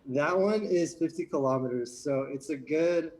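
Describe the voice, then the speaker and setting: A young man answers questions on stage in a relaxed, easygoing way. His voice is fairly high for a man. He sits a little back from the microphone. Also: normal speed, distant mic, rough recording. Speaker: graduate finalist. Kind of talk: casual interview answers.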